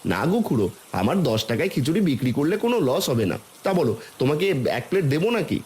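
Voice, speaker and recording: A man's voice: medium pitch, big pitch swings, fast, a little mellow. A man voicing a kindly village food seller and cheerful father in a cartoon. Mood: friendly, obliging. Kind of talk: cartoon voice acting.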